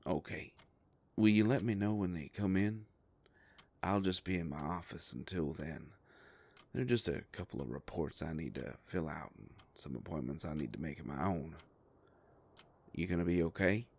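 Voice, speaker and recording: A man playing a kindly, protective werewolf private investigator in an intimate audio drama, with a gravelly voice and an easygoing, folksy way of talking. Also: low voice, normal speed, darkish tone. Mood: reassuring, businesslike.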